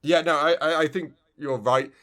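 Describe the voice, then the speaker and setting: A man's voice: on the high side, fairly even intonation, moderate pace, sharp tone. A man co-hosting a casual video game review podcast, talking in a rambling way and often restarting his phrases. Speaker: podcast co-host.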